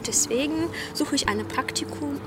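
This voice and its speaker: Woman, Ukrainian accent, high voice, earnest, searching for words, loud crowd and music around. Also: normal speed. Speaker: job seeker at a graduate fair. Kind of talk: interview.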